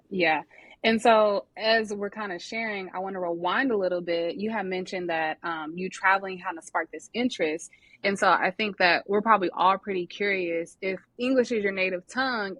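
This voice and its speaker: A woman's voice: medium pitch, normal speed, a bit bright, dry recording. A woman hosting a podcast, asking her guest curious, conversational questions. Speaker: podcast host. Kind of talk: interview questions.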